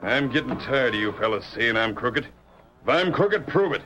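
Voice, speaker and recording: A hot-tempered, scheming young ranch boss played by a male actor blusters in a Western American drawl. He is domineering and folksy, in the manner of an old radio Western. The recording is dull and faintly noisy, with a middling male pitch. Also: lively intonation, moderate pace, close to an everyday voice. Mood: angry, challenging.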